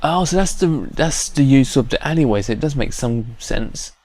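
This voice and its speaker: A man's voice: mid-range voice, animated, normal speed, darkish tone, no echo. A man giving casual, chatty gameplay commentary as a let's play host. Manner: chatty, hums to self. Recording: faint game music behind.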